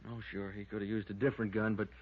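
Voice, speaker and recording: An actor playing a plain-spoken, cautious deputy sheriff in a 1950s radio drama, folksy and matter-of-fact. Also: male voice, on the low side, flat delivery, fast, natural voice. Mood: cautious, dry. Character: plain-spoken, cautious small-town male deputy sheriff, dryly teasing. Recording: muffled vintage radio broadcast.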